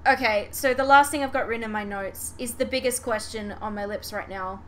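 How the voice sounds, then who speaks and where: A woman's voice: mid-range voice, bright, forceful tone, a little hiss or hum. A woman podcast host in a casual fan discussion, giving her opinions emphatically.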